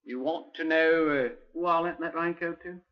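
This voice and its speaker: A man's voice: a little high, steady tone, normal speed, a little hiss or hum, close to an everyday voice. A man playing a cocky, wary young crime suspect with slangy, insolent talk in a 1950s radio drama. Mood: defiant, bargaining. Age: young adult. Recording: muffled 1950s radio recording.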